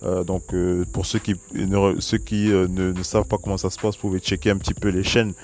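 A man with a fairly low voice hosts a solo basketball news podcast. He speaks casually, filling gaps with hesitations and restarting phrases as he goes. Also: little pitch movement, fairly quick, darkish tone. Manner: casual, many fillers and restarts. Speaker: podcast host. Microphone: voice message recording.

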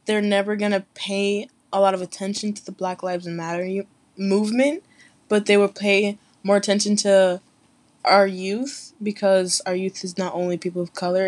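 A woman's voice: mid-range voice, darkish tone. A young woman speaking seriously and measuredly as a panel guest.

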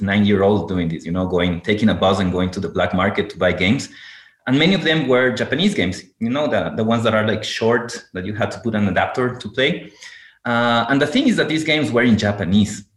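Low-voiced man from Ecuador, hesitant, echoey room. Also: animated, brisk. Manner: hesitant, many fillers and restarts. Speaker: podcast guest, university teacher. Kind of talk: casual interview talk.

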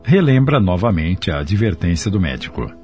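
A man narrating a scripted radio drama in a measured, dramatic storytelling style. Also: sits low, sing-song, warm tone. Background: music in the background.

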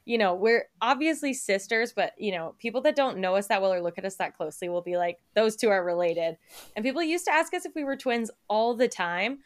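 A woman's voice: medium pitch, expressive, fairly quick. A young woman co-hosting a podcast, chatting casually with many fillers and frequent laughs. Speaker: podcast co-host and editor. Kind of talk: casual chat.

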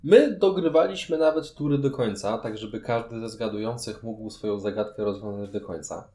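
A man's voice: on the low side, normal speed, dark tone, reverberant. A man chatting casually as co-host of a board game review show. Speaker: podcast co-host.

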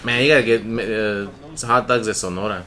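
A man's voice: fairly low pitch, steady tone, normal speed, sharp tone. A man trading easygoing questions and banter in a casual chat among friends.

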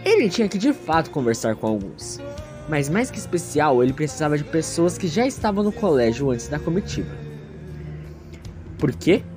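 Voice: medium pitch, big pitch swings, moderate pace, over music. A narrator telling a scripted story in a theatrical, self-mocking way with playful asides.

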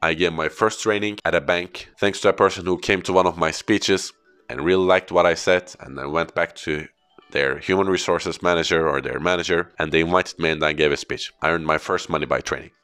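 A man's voice: low voice, steady tone, a little fast, fairly bright tone, clean tone, soft background music. A man in his thirties from Turkey, a native Turkish speaker, hosting a solo podcast in English and earnestly telling his own story. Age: 30s. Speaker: podcast host and corporate trainer.

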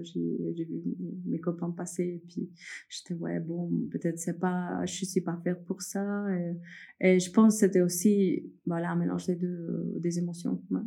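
A young woman from Peru answers interview questions in a relaxed, conversational way. Her voice is mellow and fairly low, and she pauses often with fillers and restarts as she works through her thoughts. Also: creaky. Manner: hesitant, many fillers and restarts, laughs often. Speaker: guest, entrepreneur.

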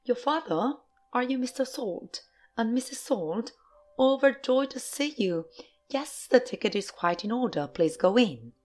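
A woman voicing an effusive, excitable little eccentric male factory owner in a high, fluty storybook voice. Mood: gushing delight. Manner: effusive, exclamatory, polite, rapid repeated phrases. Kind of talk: storybook character voice.

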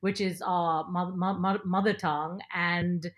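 A woman's voice: lowish voice, steady tone, measured pace. A woman who grew up in Nigeria and later England, talking emphatically and at length as a podcast guest telling her personal story. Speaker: podcast guest, broadcaster and film critic.

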